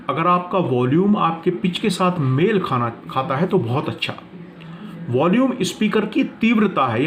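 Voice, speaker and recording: A man teaching in a deliberate, didactic way, narrating a prepared lesson and explaining it with emphasis. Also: on the high side, a little hiss or hum.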